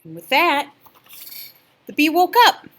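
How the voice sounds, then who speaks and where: An older woman reading a children's picture book expressively, gentle and drawn-out, hushed in places. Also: high voice, sing-song. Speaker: storyteller.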